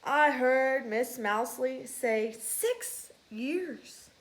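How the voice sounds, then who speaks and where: A woman's voice: high-pitched, lively intonation, very slow, a little hiss or hum, exaggerated voice. A woman voicing a stubborn young male field mouse in a storybook, blunt and competitive. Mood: contrary, competitive.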